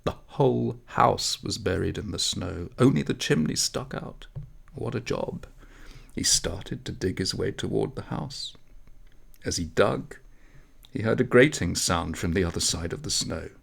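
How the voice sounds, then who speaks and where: A man reading a literary story aloud in an expressive narration style, with measured, dramatic phrasing. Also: deep voice, creaky, breathy edge. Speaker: audiobook story reader.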